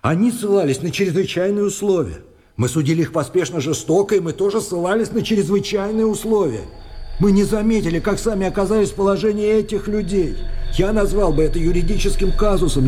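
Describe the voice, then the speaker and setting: An actor in a Russian radio play playing a principled, experienced defence lawyer, measured, probing and ironic. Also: male voice, high voice, mellow, slight background noise, lightly acted.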